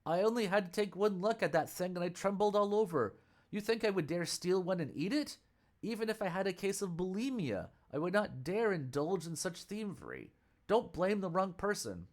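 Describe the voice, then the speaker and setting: A man's voice: high voice, expressive, moderate pace, voice pushed up. A man voicing an old Buddhist monk master who is fussy and easily frightened, speaking in an indignant, pleading way.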